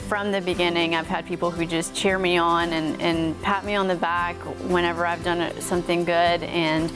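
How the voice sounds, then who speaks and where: A woman's voice: lowish voice, little pitch movement, moderate pace, low-quality recording. A woman in her thirties from Mexico with a light Texas accent, a morning news anchor talking earnestly and gratefully about her life. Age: 30s. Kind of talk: personal interview talk. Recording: music playing underneath.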